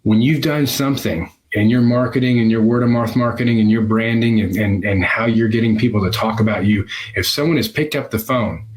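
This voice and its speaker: A man's voice: on the low side, brisk, few pauses, poor audio. A man giving a conversational instructional talk as a business coach, emphatically repeating his key phrases. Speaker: business coach for physical therapists.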